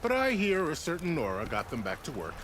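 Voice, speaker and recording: A man's voice: fairly high pitch, huge pitch swings, a little fast, background noise. A man playing a genial, wry army officer in a video game, courteous and fond of telling a tale. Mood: wry, cautioning.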